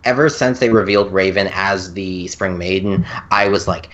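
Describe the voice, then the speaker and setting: A woman's voice: extremely low pitch, fairly even intonation, normal speed, muffled recording. A woman in a casual fan discussion on a podcast, talking in an earnest, hesitant, rambling way. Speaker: podcast co-host or guest.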